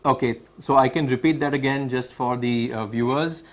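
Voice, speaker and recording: A man with an Indian accent hosting a medical question-and-answer session, explaining and restating points clearly for viewers. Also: mid-range voice, fairly even intonation, moderate pace. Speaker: webinar host. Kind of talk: moderating a medical Q&A, reading and recapping.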